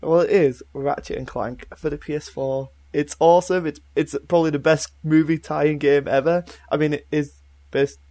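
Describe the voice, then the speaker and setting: A man's voice: fairly high pitch, fairly even intonation, normal speed, dark tone. A man chatting casually as a co-host on a group podcast.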